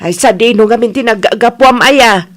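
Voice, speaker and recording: A woman's voice: on the high side, moderate pace, close to an everyday voice. A woman playing a stern, suspicious older mother in a comedy radio drama, with a Philippine accent. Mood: suspicious, scolding. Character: stern, suspicious older mother grilling her grown child.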